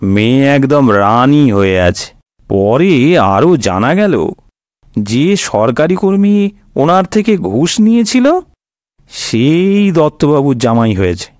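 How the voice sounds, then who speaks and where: A man's voice: medium pitch, sing-song, takes it slowly, darkish tone, no room sound, clean studio sound. A man with an Indian Bengali accent telling scripted satirical stories in a colloquial, wry, explanatory way. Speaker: comic storyteller.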